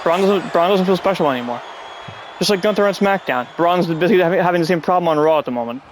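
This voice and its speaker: A man's voice: sits high, fast, slight background noise, low-quality recording. A man with a stuffy nose who is coming down with a cold, hosting a casual wrestling review chat at home in a rambling, sarcastic way. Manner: rambling, sarcastic, often annoyed. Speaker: podcast host.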